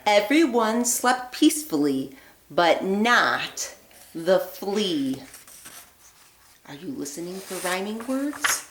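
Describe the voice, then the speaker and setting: A woman teacher reading a children's picture book aloud, phrasing deliberately and expressively with emphasis on the rhymes. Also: medium pitch, sing-song, slight background noise. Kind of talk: read-aloud storytelling for young children. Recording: echoey room.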